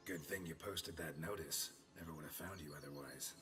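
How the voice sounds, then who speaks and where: Gruff monster hunter in a fantasy game, super low mumbly monotone, dry humor, music behind. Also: male voice, brisk. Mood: dry amusement. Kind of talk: fantasy video game acting.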